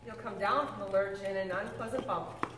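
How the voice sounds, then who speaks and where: A woman reading a picture book aloud with lively, expressive delivery, now and then stumbling and correcting herself. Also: normal speed, noisy background. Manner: expressive storybook reading with big pitch swings. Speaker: reader. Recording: echoey room, mic at a distance.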